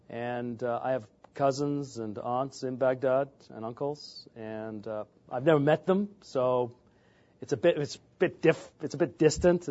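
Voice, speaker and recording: A man in his fifties, from England, giving an informal lecture on church history, often pausing on filler sounds and restarting. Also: a little high, a little mellow, muffled recording.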